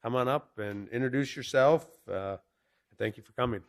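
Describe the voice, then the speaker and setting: A man's voice: medium pitch, normal speed. A man chairing a county commission meeting, introducing items in an easygoing way with fillers.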